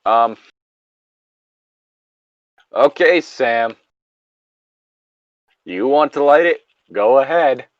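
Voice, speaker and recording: A man's voice: mid-range voice, lively intonation, drawn-out words, long pauses, dry recording, muffled recording. A man reading a comic story casually, breaking off into jokey asides and banter. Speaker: co-reader of an internet story.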